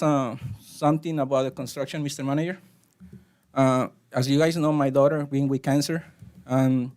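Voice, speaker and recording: A man with a Spanish accent, a native Spanish speaker, speaking earnestly and haltingly during public comment at a city council meeting. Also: on the high side, a little mellow. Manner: earnest, halting, with frequent restarts. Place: council chamber.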